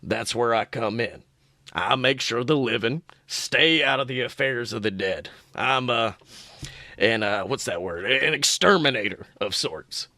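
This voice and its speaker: A man voicing a pushy undead trickster who wheedles like a folksy, fast-talking salesman in a podcast story. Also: medium pitch, sharp tone, no room sound, pitched below the performer's own voice. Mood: slick pitch. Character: sleazy decrepit undead trickster ghoul, pushy pitchman.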